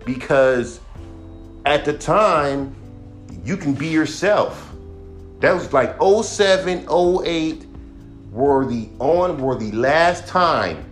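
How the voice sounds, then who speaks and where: Male podcast host, 30s, rapper; blunt profane rant, self-described monotone delivery, faint music bed. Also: a little high, slow pace. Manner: blunt, heated, heavy swearing.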